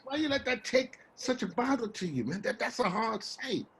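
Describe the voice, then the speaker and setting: An actor playing a rambling, wheedling homeless man with American street slang and a husky, stammering delivery, in a stage play reading. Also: very high voice, very animated, normal speed, a bit bright, heavily acted. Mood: calming, reflective. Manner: stammering, repeats words, folksy street slang.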